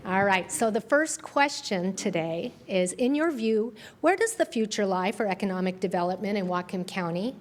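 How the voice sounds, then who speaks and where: A woman's voice: mid-range voice, expressive, normal speed, hardly any pauses. A woman moderating a candidate forum, giving brief, orderly prompts.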